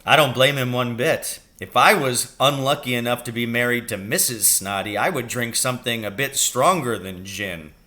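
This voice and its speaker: A man's voice: mid-range voice, normal speed, hardly any pauses, pushed and bright, lightly acted. A man voicing a frank, affectionate father in a storybook, plain-spoken and emphatic. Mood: wry, blunt.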